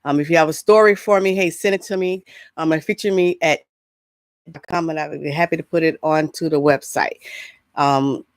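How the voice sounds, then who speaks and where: A woman's voice: low voice, fairly even intonation, a little fast, no room sound. Chatty middle-aged woman hosting, close dry sound. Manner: chatty, loose and rambling, often breaking off into asides. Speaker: host of an entertainment news show. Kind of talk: casual solo vlog chat with announcements.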